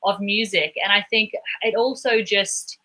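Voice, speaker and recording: A woman from Australia with an Australian accent, a guest on a video-call interview, speaking in a thoughtful, measured way. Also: medium pitch, fairly even intonation, pushed and bright. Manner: thoughtful, measured, with small restarts. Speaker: guest, performance psychologist.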